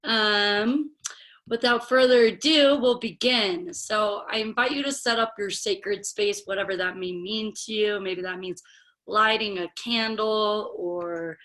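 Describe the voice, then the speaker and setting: A woman yoga instructor with a slight head cold, guiding a virtual class through breathing in a slow, soothing way. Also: medium pitch, fairly bright tone, roomy sound, far from the mic, low-quality recording.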